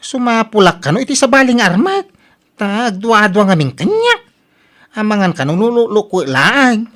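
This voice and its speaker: Bright, wildly swooping lovesick son, woman-acted, Ilocano accent. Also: mid-range voice, very animated, normal speed. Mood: lovesick, stubborn. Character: lovesick, stubborn grown son of a nagging mother. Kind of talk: comedy drama voice acting. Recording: noisy recording with clicks.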